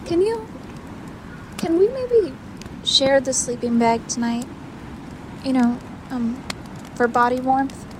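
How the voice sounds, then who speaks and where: High-voiced, hesitant woman survivor , acted, noisy ambience. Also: takes it slowly, pauses often. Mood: timid, shaky. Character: hesitant, vulnerable young female survivor. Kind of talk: naturalistic audio drama dialogue.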